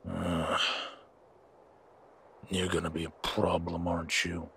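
A man playing a slow-witted, menacing henchman guarding his boss in an audio roleplay, with a gruff, raspy voice and blunt, halting speech. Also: low-pitched, monotone, fairly bright tone, a little hiss or hum, full character voice. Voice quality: raspy, gravelly.

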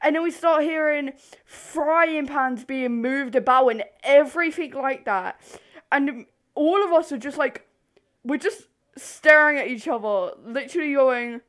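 A young woman telling a story casually to camera in an animated, rambling, giggly way, easily sidetracked. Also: high voice, unhurried. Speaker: video host. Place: bedroom.

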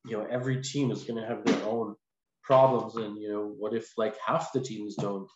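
A man in his forties speaking casually and haltingly as an interview guest over a video call. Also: lowish voice, fairly even intonation. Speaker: podcast guest, lawyer. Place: small indoor room, video call. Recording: echoey, muffled video call with noise.

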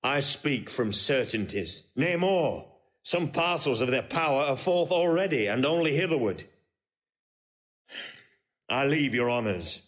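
An actor playing a proud, shrewd warrior general in a classical Shakespearean audio drama, declaiming crisp verse. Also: male voice, mid-range voice, lively intonation, a little slow, a bit bright. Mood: resolute. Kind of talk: classical Shakespearean stage acting.

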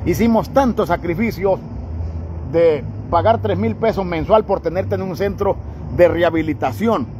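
A man's voice: high-pitched, moderate pace, a little mellow. Blunt Honduran man lecturing on a street. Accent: Honduran Spanish. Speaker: charity channel host filming on the street. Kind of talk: scolding street talk. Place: street at night, outdoors. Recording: noisy night street recording.

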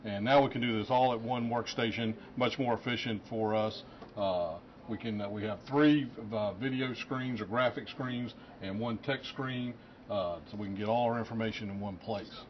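Middle-aged male forecaster, lowish voice, halting matter-of-fact explainer, noisy office sound. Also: steady tone. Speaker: lead weather forecaster. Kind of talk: informal technical explanation. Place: forecast office at computer workstation. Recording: muffled audio with clear background noise.

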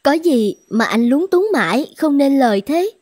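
A high-voiced young cartoon sweetheart. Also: female voice, animated, moderate pace, a little mellow, dry recording, studio quality. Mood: sweet, delighted. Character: sweet, sensitive young village beauty in love. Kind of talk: animated fairy tale dubbing.

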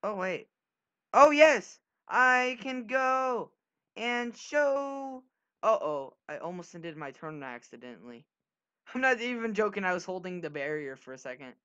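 Young male gaming host, animated and joking, casual banter, close dry mic with slightly dull sound. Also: high voice, unhurried, clean tone, dry recording. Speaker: gaming video host and player.

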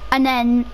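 A young woman chatting casually over her own gameplay, rambling to herself, her voice a little rough from a cough. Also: on the high side, darkish tone, a little hiss or hum, rough recording. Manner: chatty, rambling, self-talking. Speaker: gaming video creator.

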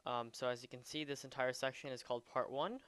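A man giving a casual, unscripted software tutorial, a bit hesitant as he thinks aloud while demonstrating. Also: mid-range voice, steady tone, fast talker, clear, resonant tone, no echo. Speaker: tutorial presenter.

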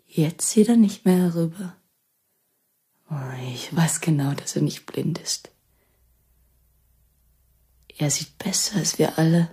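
A woman's voice: sits low, takes it slowly, long pauses, warm tone, breathy edge, exaggerated voice. A woman acting a frightened, heartless neighbour in a German radio play, anxious, insistent and repetitive. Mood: uneasy. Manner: anxious, insistent, repeating herself.

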